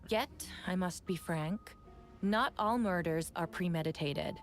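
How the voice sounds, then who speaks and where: An actress playing a stern female prosecutor in a video game courtroom, speaking in a formal, measured, deliberate way. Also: lowish voice, soft background music, close to an everyday voice. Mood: firm, measured.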